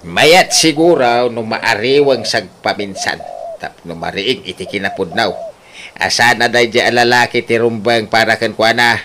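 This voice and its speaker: A man's voice: mid-range voice, unhurried, bright, forceful tone. A man with an Ilocano Filipino accent playing a stubborn, hot-tempered, scolding father in a comic radio drama. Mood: grumbling. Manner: blustering, exclamatory, scolding. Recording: noisy radio drama recording.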